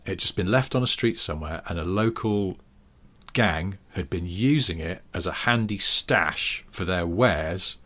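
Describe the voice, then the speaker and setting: Deep man in his forties, loose chatty co-host who rambles. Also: expressive, unhurried, fairly bright tone. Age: 40s. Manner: rambling storyteller, frequent ums and restarts. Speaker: podcast co-host. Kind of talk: casual chat.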